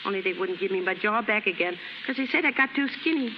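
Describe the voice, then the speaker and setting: A woman's voice: medium pitch, expressive, fast talker, lightly acted. An actress playing a scrappy young penniless New dance-hall girl with uneducated street speech, in a 1930s radio drama. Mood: plaintive, frank. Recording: noisy muffled 1930s radio recording.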